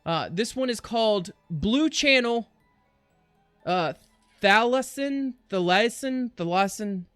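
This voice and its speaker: A man's voice: high voice, animated, slow, a bit bright, clear, resonant tone, no echo, faint music behind. A man hosting a reaction livestream with casual, jokey, rambling commentary that often interrupts itself. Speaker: livestream reaction show host.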